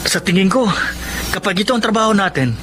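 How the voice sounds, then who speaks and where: High-voiced, eager young man. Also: expressive, fast talker, fairly bright tone, a little hiss or hum. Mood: hopeful, persuasive. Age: young adult. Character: eager, optimistic young provincial man chasing big dreams. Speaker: radio drama actor. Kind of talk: radio drama acting.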